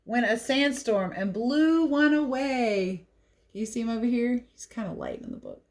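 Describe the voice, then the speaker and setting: A woman's voice: on the high side, huge pitch swings, normal speed, darkish tone. Lady teacher doing a kids read-aloud, chatty, stops to explain stuff as she goes. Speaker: teacher reading picture books aloud. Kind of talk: read-aloud for children with casual asides.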